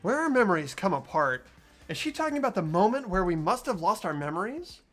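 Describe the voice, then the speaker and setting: Woman acting an earnest, animated young student. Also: medium pitch, brisk, faint music behind. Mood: pensive. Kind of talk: fan dub of a visual novel game.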